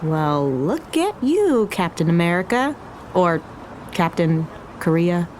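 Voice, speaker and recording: An actress playing a blunt, teasing young Mexican-American restaurateur in a naturalistic audio drama. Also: female voice, lowish voice, big pitch swings, slow pace, darkish tone, slight background noise. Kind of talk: naturalistic fiction podcast acting.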